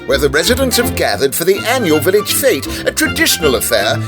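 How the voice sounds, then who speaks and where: A man's voice: a little high, wildly swooping pitch, a little fast, music in the background. A man with an English accent delivering arch, theatrical scripted narration for a comic radio drama.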